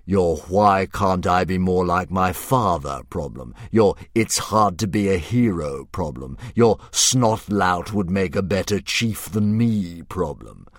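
A man voicing a huge, vain male dragon who talks like a cheerfully menacing philosopher, slow and condescending. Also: deep voice, no room sound. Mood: grand. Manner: slow, drawn-out, grandly condescending. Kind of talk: audiobook character voice.

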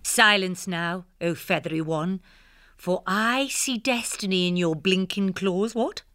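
A jolly posh hare. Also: female voice, medium pitch, sharp tone, no room sound, studio quality. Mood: playful. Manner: brisk, jolly posh slang. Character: plucky, jolly female hare playing mystic.

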